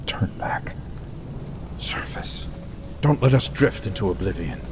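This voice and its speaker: A man's voice: on the low side, lively intonation, a little slow, whispered. An actor playing an anxious man at sea in an audio drama, theatrical and urgent. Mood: hushed pleading. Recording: noisy storm ambience over voice.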